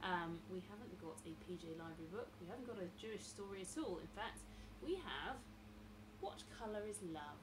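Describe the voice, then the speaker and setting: A middle-aged woman reading a picture book aloud to children in an expressive, deliberate storyteller's manner, recorded in a room. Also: fairly low pitch, noisy background. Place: indoors, small room lined with bookshelves.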